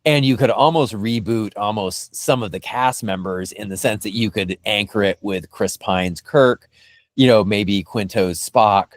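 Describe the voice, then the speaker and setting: Low-voiced man chatting, dry close sound. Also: measured pace, no room sound. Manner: rambling, peppered with "you know" and "like". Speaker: podcast co-host. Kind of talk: casual speculative film and TV discussion.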